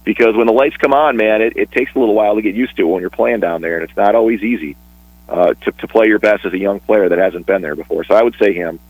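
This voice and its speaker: A man talking casually on a radio sports show, chatty and a bit rambling, with restarts and quick laughs. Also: fairly low pitch, darkish tone, slightly rough, dry recording, low-quality recording. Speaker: sports broadcaster guest.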